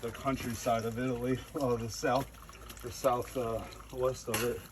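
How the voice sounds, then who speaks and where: A man's voice: medium pitch, flat delivery, moderate pace, noisy background. A man chatting casually while working outdoors, laid-back and slangy in his wording.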